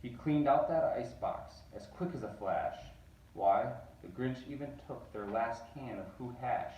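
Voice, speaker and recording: A man reading a picture book aloud, animated and rising on repeated words. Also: medium pitch, measured pace, highs cut off. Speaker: reader. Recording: roomy echo, distant mic.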